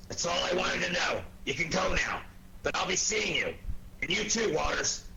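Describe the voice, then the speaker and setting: An actor playing a blustery, irritable police inspector with an American accent and a gruff, gravelly, bossy delivery in a 1940s radio drama. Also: male voice, high-pitched, lively intonation, moderate pace, sharp tone, exaggerated voice. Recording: noisy 1940s radio recording.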